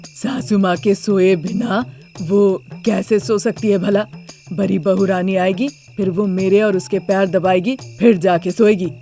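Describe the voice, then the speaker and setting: A bossy older mother-in-law from a moral story, played by a woman, at a medium pitch. She is scornful and complaining, with faint music underneath. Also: fairly even intonation, a little slow, mellow, natural voice. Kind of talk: Hindi moral story character voice.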